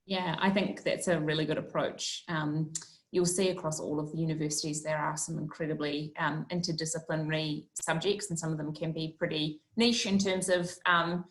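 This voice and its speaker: A woman's voice: deep voice, flat delivery, normal speed, poor audio. A woman on a university panel, agreeing and adding a conversational point on a webinar. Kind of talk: webinar answer. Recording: online video call.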